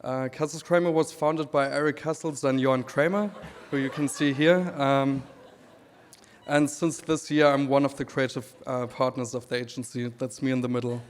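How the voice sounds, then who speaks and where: A man in his thirties from Namibia with a German accent, giving a relaxed conference talk with dry humor and many pauses. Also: mid-range voice, a little hiss or hum. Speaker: speaker presenting his creative work. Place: event hall. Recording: reverberant hall.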